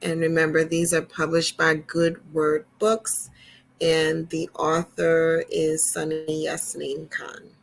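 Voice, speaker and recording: Female storytime host, lowish voice, very unhurried emphatic children's reading with conversational asides. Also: monotone, vocal fry, soft background music.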